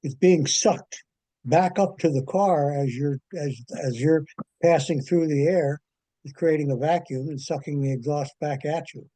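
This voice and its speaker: A man with a soft-edged, slightly high voice explains things on a conference call. He is measured and matter-of-fact, and the sound is very dry. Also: no echo. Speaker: club member giving technical advice. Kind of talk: casual technical explanation.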